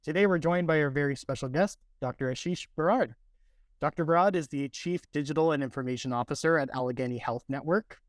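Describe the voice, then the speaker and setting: A man's voice: medium pitch, no room sound. A man hosting a podcast, reading a polished, upbeat introduction and then asking his guest welcoming questions. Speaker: podcast host.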